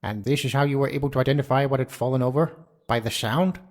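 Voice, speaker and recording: An actor playing a solemn elderly courtroom judge in a video game drama, formal and deliberate. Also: male voice, mid-range voice, lightly acted. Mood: grave.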